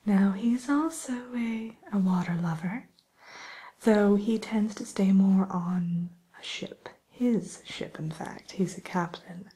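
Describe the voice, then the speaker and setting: A woman softly whispering an ASMR roleplay as a polite, hesitant matchmaker who soothes and defers to her client. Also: mid-range voice, slow pace. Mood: hushed, tactful. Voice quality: breathy, mostly whispered. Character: polite, accommodating female matchmaker at a dating service for villains.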